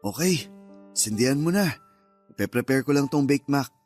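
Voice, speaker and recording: A man's voice: medium pitch, lively intonation, moderate pace, darkish tone, natural voice. An actor playing a caring Filipino father and husband in a Tagalog radio drama. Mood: gentle, relaxed. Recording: music under the voice.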